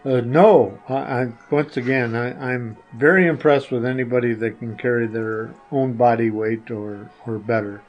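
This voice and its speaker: An older man answering questions casually as a guest, speaking in a hesitant, halting, earnest way. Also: medium pitch, fairly even intonation, muffled recording, soft background music. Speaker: podcast guest, the hosts' father.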